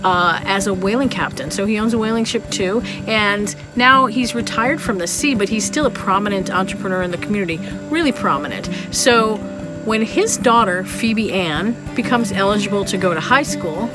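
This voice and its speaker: A woman's voice: mid-range voice, lively intonation, normal speed, music in the background, low-quality recording. A woman vlogger narrating history in a casual, conversational way with wry, playful asides to her viewers.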